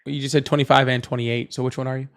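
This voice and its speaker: A man's voice: medium pitch, level tone, very fast. A man hosting a live call-in political debate in a casual style, reading out viewer messages, sarcastic and dismissive with callers.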